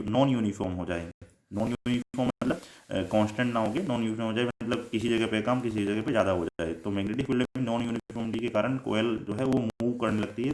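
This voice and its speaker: A man's voice: fairly low pitch, a little mellow. Indian man lecturing in an echoey room. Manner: repetitive step-by-step explaining, switching into English technical terms. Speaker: electronics lecturer. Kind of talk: explanatory lecture, reading definitions then paraphrasing them.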